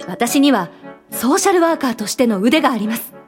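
A determined young female social worker in a radio drama. Her voice sits a little high and speaks with earnest, gentle persuasion. Music plays clearly behind her. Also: big pitch swings, fairly quick. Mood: formal, determined.

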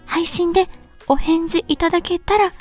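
Woman putting on a very high, sweet letter-writer lady. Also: fairly even intonation, moderate pace, soft background music. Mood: gently curious. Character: sweet woman fan-letter writer, gently curious, an impression. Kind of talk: reading a letter aloud in an imitated voice.

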